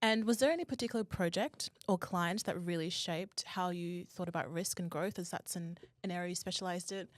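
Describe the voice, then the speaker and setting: Woman, podcast host, somewhat low pitch, conversational interviewing, very little room sound. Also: a little fast, few pauses, no echo, studio quality.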